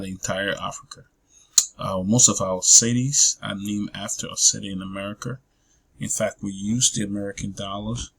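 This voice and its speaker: Man, 40s, deep voice, husky, halting testimony full of pauses and fillers. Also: fairly bright tone, poor audio. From Liberia. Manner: hesitant, many drawn-out fillers and restarts. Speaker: guest speaker. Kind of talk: personal testimony talk.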